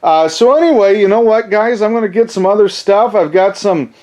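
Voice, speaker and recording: A man's voice: high voice, lively intonation, fairly quick, darkish tone. A man hosting a casual, unscripted collector's haul video, thinking aloud in a rambling way with folksy phrasing. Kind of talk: casual show-and-tell, unscripted.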